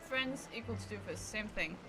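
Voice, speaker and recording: A woman's voice: medium pitch, moderate pace. A woman chatting casually on a live stream while she plays a game, musing and often trailing off mid-thought. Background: game music and sound effects.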